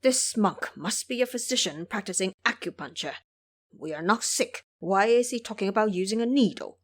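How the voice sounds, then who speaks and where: A woman's voice: medium pitch, moderate pace, close to an everyday voice. A woman voicing menacing, pompous male bandits who bluster and threaten in an audio drama. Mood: indignant.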